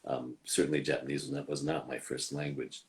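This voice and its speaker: Very deep-voiced man, halting and reflective. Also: level tone, slight background noise. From United States. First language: English. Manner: hesitant, many fillers and restarts. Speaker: voice actor guest. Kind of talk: casual conversational interview.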